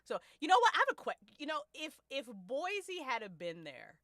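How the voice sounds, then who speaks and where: Bright, animated, high-voiced woman. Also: normal speed, no echo, studio quality. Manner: effusive, repeats words for emphasis; frequent laughter. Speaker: host of a podcast cast discussion. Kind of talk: casual chat.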